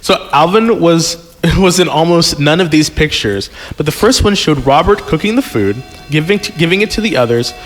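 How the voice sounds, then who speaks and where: A man hosting a podcast, reading a story aloud haltingly with casual asides and chuckles. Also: fairly high pitch, expressive, a bit bright, low-quality recording. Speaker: podcast host and reader. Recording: music playing behind the voice. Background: prominent background music.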